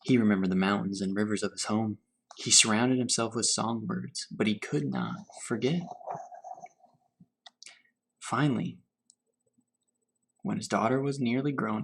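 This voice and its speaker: A man reading a storybook aloud as a teacher for children, pausing to put questions to his young listeners. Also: fairly low pitch, gravelly, a bit bright, slight background noise. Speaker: teacher reading to fourth graders.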